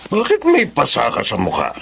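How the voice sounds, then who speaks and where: A man's voice: medium pitch, huge pitch swings, moderate pace, creaky, fairly bright tone, husky, exaggerated voice. An actor playing a stern, domineering wealthy older father, commanding and formal, in a Filipino drama. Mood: stern, bossy. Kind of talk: Filipino melodrama acting.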